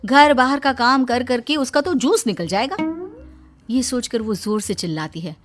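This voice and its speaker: A woman's voice: fairly high pitch, moderate pace. A woman narrating a cartoon story in a wry, knowing way.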